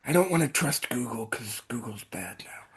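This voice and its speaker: A man with a slightly husky, breathy voice, chatting as a podcast guest. He hesitates a lot, fills gaps with "um" and "like" and lets sentences trail off. His voice sits at a middling pitch. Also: animated, moderate pace. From Iowa, United States. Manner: hesitant, filler-heavy, trailing off. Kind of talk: casual chat.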